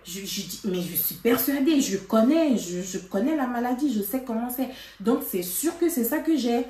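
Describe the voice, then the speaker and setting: Woman vlogger, animated storytime chat, higher pitch, mic at a distance. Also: moderate pace, slight background noise. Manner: animated, laughing, lots of fillers. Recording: roomy, from a distance.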